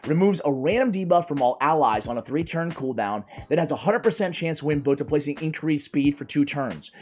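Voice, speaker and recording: A man reviewing video game characters in a casual, energetic way, his voice strained and worn from a cold. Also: fairly high pitch, fairly quick. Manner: energetic, chatty, keeps addressing viewers as guys. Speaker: gaming YouTuber.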